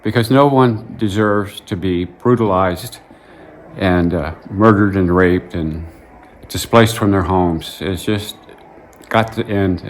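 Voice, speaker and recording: A young man, a guest supporter, speaking earnestly and gravely at a slow pace. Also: low-pitched, slight background noise. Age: young adult.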